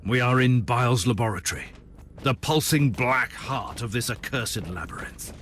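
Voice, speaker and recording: An actor playing a grave, formal Inquisitor and war leader in a dramatic video game cutscene. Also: male voice, medium pitch, normal speed, fairly bright tone, slight background noise, natural voice. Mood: grave warning. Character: stern, commanding male Inquisitor, grim war leader.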